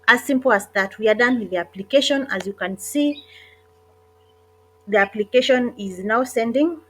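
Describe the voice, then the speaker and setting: A woman narrating an online job application walkthrough in a casual, hesitant way, with frequent pauses and self-corrections. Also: a little high, rough recording. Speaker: online job tutorial creator. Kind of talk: casual screen-recorded walkthrough.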